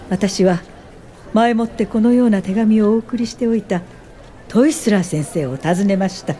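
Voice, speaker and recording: An actress playing an older woman recounting her life in a radio drama, reflective and measured. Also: mid-range voice, warm tone, natural voice. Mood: nostalgic. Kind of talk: scripted first-person radio drama narration.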